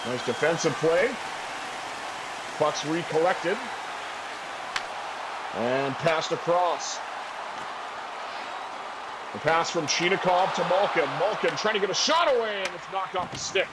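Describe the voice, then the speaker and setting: A man calling a hockey video game play by play, getting loud and excited when a goal goes in. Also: a little slow, pauses often, over a noisy crowd, very noisy. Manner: excitable play-by-play, jumps high on goals. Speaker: play-by-play commentator.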